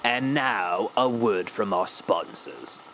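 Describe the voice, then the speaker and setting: A man playing an ominous, deliberate announcer introducing chapters and breaks in a radio drama. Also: lowish voice, lively intonation, over music, low-quality recording.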